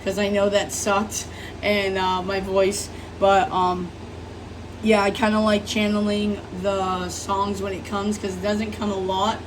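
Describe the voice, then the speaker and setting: Woman tarot host, hoarse from illness, loose and rambling, distant mic, audible background hum. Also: mid-range voice. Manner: rambling, apologetic, many fillers. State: sick, rough smoker-like voice. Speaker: tarot reader. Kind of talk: casual tarot reading monologue.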